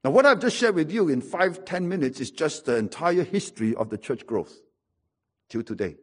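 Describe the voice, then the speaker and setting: A middle-aged preacher with a Singaporean English accent delivers a sermon, mixing wry humor into his storytelling. His voice sits a little high for a man and has a husky, slightly rough texture. He drives his points home through emphatic repetition. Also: sing-song, moderate pace.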